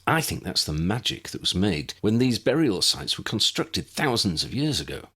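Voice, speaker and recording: A man's voice: fairly low pitch, very animated, normal speed, sharp tone, husky, full character voice. A man voicing a cool, observant male wizard professor in an audiobook, clipped and matter-of-fact. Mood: dry.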